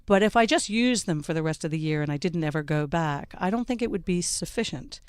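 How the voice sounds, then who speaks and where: A woman hosting a radio panel show, introducing her guests in a measured way with plenty of ums and uhs. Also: on the low side, animated, smooth, no echo, clean studio sound. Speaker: radio talk show host. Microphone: studio microphone.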